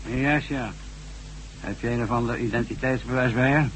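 A man's voice: mid-range voice, fairly even intonation, moderate pace, a bit bright, close to an everyday voice, poor audio. A male actor plays a stern official screening newcomers in a radio play. His mid-range voice is curt, interrogating and distrustful. Faint hum and noise sit behind it on the old recording. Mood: suspicious. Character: stern, suspicious official screening new workmen. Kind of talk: Dutch radio drama acting.

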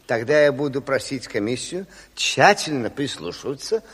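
An actor playing an officious, insistent man pleading formally and haltingly at a meeting in a radio drama. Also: medium pitch, sing-song, a bit bright, breathy edge, big-room sound. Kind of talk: Soviet radio play acting.

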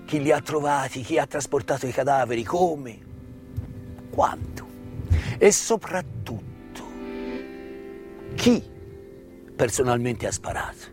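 A middle-aged man delivers a narrative stage monologue with deliberate, emphatic phrasing and dramatic pauses. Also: medium pitch, animated, a little mellow. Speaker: stage actor and storyteller. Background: live instrumental music.

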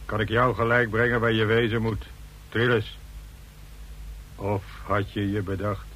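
A man's voice: fairly low pitch, little pitch movement, a little slow, fairly bright tone. An actor playing a sardonic henchman in a radio drama, dryly mocking with cool menace. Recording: noisy old radio drama recording.

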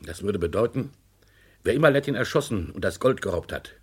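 Stern, very husky boss. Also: male voice, fairly low pitch, expressive, a little fast, full character voice. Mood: stern, sceptical. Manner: formal, distrustful, authoritative. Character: stern, sceptical male director burdened with responsibility. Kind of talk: German crime radio play acting.

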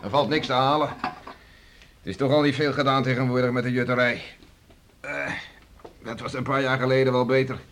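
A man's voice: mid-range voice, steady tone, brisk, long pauses, fairly bright tone, lightly acted. Man acting a bluff island farmer father. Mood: gruff, nostalgic. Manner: bluff, teasing, chuckling, colloquial. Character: hearty, gruff island farmer and beachcomber father. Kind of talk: Dutch radio drama acting.

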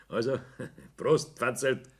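A man's voice: mid-range voice, drawn-out words, breathy edge. An actor with a Bavarian accent playing a jovial, scheming businessman in a 1960s German radio play. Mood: jovial, pushy. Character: jovial, scheming businessman father guarding his reputation.

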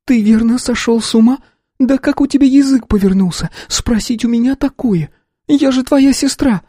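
A man voicing the narrator's young adult sister, who speaks in a high-pitched, emotional way. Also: moderate pace, dark tone, no echo, studio quality. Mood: upset, tearful.

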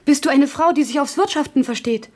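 An actress playing an excitable, outspoken young maidservant in a German radio play, with an emphatic, clipped delivery. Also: female voice, sits high, brisk, close to an everyday voice. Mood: questioning.

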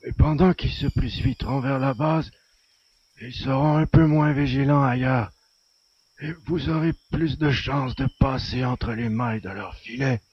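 A man voicing a mortally wounded human man who speaks in a slow, weak murmur. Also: mid-range voice, slightly rough, lo-fi sound. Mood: weak, resolute. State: dying, weak. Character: dying wounded human man, brave and tender.

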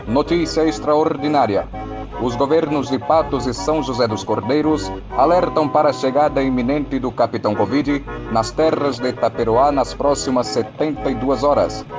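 Casting: male actor as urgent bulletin announcer, mid-pitched, solemn and formal, prominent music bed. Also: moderate pace, lightly acted. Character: solemn radio newsreader issuing urgent warning. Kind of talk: dramatized news bulletin.

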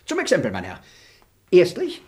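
A sly, fawning bailiff for a radio play. His voice sits fairly high and leaps up and down as he wheedles and giggles. He stays pushy and theatrical throughout. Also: huge pitch swings, moderate pace, darkish tone. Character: sly fawning bigoted male estate bailiff. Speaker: estate bailiff. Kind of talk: German radio play acting.

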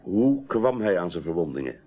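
A man's voice: sits low, sing-song, moderate pace, breathy edge, full character voice. A man acting in a radio play as a persistent police inspector, questioning in a businesslike, authoritative way. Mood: probing. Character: stern, persistent male police inspector interrogating a witness. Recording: muffled, narrow-band old radio recording.

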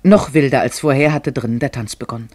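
A man narrating a literary tale for a radio drama, expressive and measured in his phrasing. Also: medium pitch, husky, no room sound, lightly acted.

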